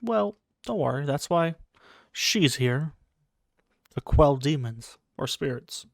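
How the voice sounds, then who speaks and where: A woman's voice: low voice, lively intonation, takes it slowly, vocal fry, no echo, clean studio sound. A woman giving casual playthrough commentary on a game, dropping offhand, wry asides. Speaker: gamer reacting to a story quest.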